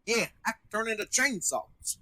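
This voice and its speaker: A man's voice: high voice, lively intonation, moderate pace, bright, forceful tone, heavily acted. A man voicing a brash, casual teenage boy devil hunter in an amateur anime fan dub. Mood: reluctant.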